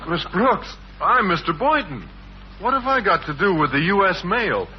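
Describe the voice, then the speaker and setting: Noisy old radio, shy confused american guy teacher, earnest, hesitant, voice a bit high. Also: sing-song, moderate pace, a crowd in the background. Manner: hesitant, literal, puzzled. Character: shy, earnest, baffled man biology teacher. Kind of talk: 1950s radio sitcom acting. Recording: dull, noisy 1950s radio recording.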